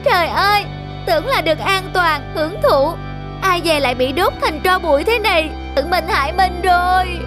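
A high-voiced cartoon little weed. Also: female voice, normal speed, over music. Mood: panicked. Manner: exaggerated, boastful. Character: smug, self-satisfied little weed hiding from trouble. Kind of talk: cartoon folk-tale acting.

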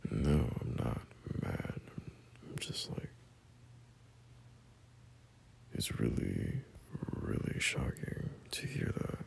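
Guy doing a gentle sleepy boyfriend asmr voice, deep and half whispered, soft n hesitant. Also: wildly swooping pitch, full character voice. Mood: tender, tired. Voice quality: breathy, gravelly half-whisper. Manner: soft, slow, hesitant, reassuring. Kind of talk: boyfriend ASMR roleplay, intimate and unhurried.

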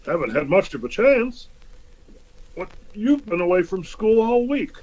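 A man's voice: high voice, big pitch swings, a little slow, a little mellow, full character voice, low-quality recording. A man playing a grouchy, pompous middle-aged school principal in old-time radio comedy style, grumbling and formal. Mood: stern, blustering.

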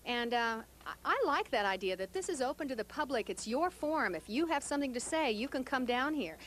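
A woman reporter from Texas with a fairly high voice, working live with a handheld mic indoors at a TV studio. She is chatty and informal as she interviews. There is faint noise behind her. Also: lively intonation, a little fast, a bit bright. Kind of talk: live on-location news interview. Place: indoors at a cable TV studio and edit room. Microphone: handheld stick microphone. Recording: noisy live TV location sound.

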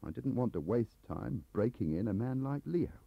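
A lonely middle-aged English clerk as a male reader plays him confides and complains in a rambling, defensive way. The voice is husky and animated, on a muffled audiobook cassette. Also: medium pitch, normal speed, no echo, pitched above the performer's own voice. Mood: aggrieved. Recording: dull lo-fi cassette transfer.

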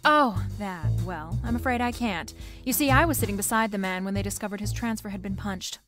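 A woman's voice: medium pitch, a little fast, music in the background, close to an everyday voice. A woman playing a wisecracking, flirtatious private eye, narrating a noir radio drama in a wry, playful way.

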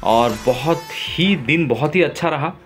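A man narrating his own travel vlog in a relaxed, enthusiastic way, pausing often with fillers, filmed on a phone outdoors on a river boat. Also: on the high side, faint music behind. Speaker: travel vlogger.

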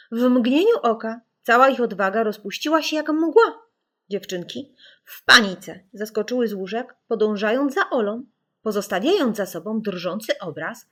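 A woman narrating a children's audiobook in a suspenseful, theatrical way with deliberate dramatic emphasis. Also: medium pitch, a bit bright.

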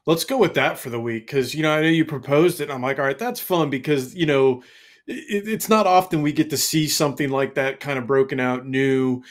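A man in his thirties co-hosting a casual car show, talking in a relaxed, rambling way on a headset. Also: mid-range voice, fairly quick. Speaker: co-host. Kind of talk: casual podcast chat.

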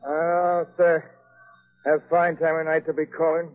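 Grumpy, blustering police commissioner played by an actor. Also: male voice, fairly high pitch, monotone, moderate pace, close to an everyday voice. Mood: irritated. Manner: irritable, blustering, sarcastic. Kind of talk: 1930s radio crime drama acting. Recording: muffled scratchy 1930s radio recording.

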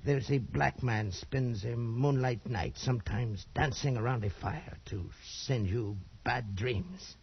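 An actor playing a nervous, slyly dismissive Portuguese trader with a Portuguese accent, a husky gravelly voice and hesitant broken English. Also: male voice, mid-range voice, takes it slowly, few pauses, heavily acted. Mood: uneasy, insinuating. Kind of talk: 1940s radio acting. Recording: dull old radio recording.

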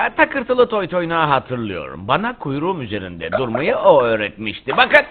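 A man's voice: medium pitch, huge pitch swings, normal speed. A man voicing a fussy talking pet fish in a children's cartoon dub. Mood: wry. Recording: tinny narrow-band audio with music.

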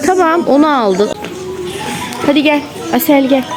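A woman's voice: sits high, animated, a little slow. A woman chatting casually as a mother on a shopping vlog, coaxing her child playfully with lots of questions. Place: inside a busy clothing shop. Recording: store music behind the voice. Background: loud store music.

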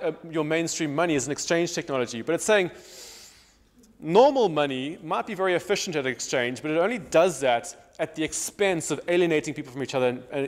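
A man in his thirties with a South African accent, giving a public lecture, thinking aloud with many restarts and fillers. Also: a little high. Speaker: guest speaker. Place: large hall with marble wall. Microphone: lapel. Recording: long hall reverb.

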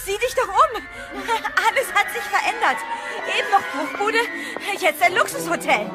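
A woman's voice: very high voice, lively intonation, moderate pace. A woman playing a frightened, urgent young woman fleeing danger in a German horror radio drama. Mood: alarmed. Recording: music under the dialogue.

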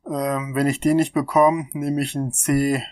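A man's voice: medium pitch, flat delivery, normal speed, dry recording. A man in his thirties with a stuffy cold, casually explaining technical calculations on his channel, thinking aloud with fillers, recorded on a laptop mic in a small room. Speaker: channel host. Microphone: laptop webcam mic.

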